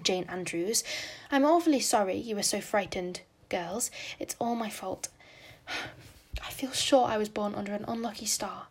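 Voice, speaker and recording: A woman's voice: a little high, husky. A spirited, imaginative teenage girl talks in earnest, dramatic sentences full of sighs, voiced by a woman reading aloud. Her speech is wordy and heartfelt.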